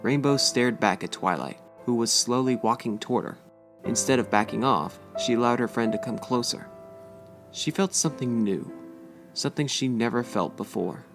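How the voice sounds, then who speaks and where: A woman's voice: a bass voice, moderate pace. A woman reading scripted fan fiction as a storybook narrator. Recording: music behind the voice.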